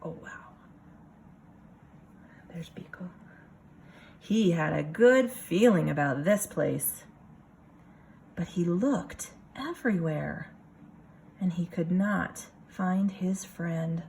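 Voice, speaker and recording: A woman reading a picture book aloud like a kindergarten teacher, expressive, pausing for casual asides to the children. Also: on the low side, creaky, a little hiss or hum.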